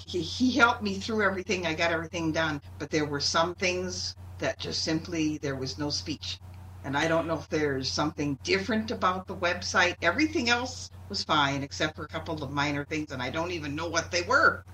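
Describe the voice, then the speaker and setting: A woman with a bright, slightly low voice talks casually and haltingly. She rambles as she goes. She sounds distant from the mic, with faint noise around her. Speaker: club participant. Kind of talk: casual chat.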